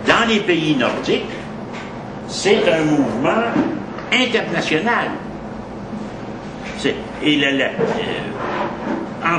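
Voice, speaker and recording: A man with a Québécois French accent lectures on history, wandering off into asides and restarting his sentences. He leans hard on important words. He sounds far from the mic in a roomy, echoing space over steady background noise. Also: a little high. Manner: digressive, restarts often, emphatic on key words.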